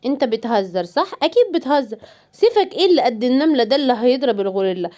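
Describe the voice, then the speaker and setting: A woman's voice: on the high side, very animated, rapid-fire, mellow, a little hiss or hum, pitched below the performer's own voice. A woman voicing a cheeky, easily scared young male lion cub in a children's cartoon story, chattering in a childlike way. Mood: worried, scolding.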